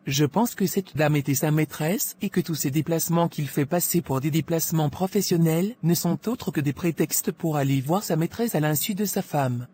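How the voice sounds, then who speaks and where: A woman's voice: sits low, normal speed, hardly any pauses, warm tone, close to an everyday voice. A woman playing a gossipy, scheming pharmacy employee in a cartoon drama, insinuating and self-assured, with faint noise. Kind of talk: animated moral drama dialogue.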